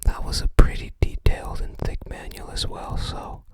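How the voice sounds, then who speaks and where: A person whispering softly and breathily in an unhurried, musing ASMR show-and-tell. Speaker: ASMR video creator.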